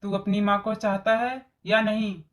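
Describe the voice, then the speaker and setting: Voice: mid-range voice, level tone, measured pace, close to an everyday voice. A voice actor playing a scheming, greedy middle-aged mother-in-law in a story cartoon, with exaggerated storybook delivery. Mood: bossy, demanding. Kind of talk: Hindi moral story cartoon dialogue.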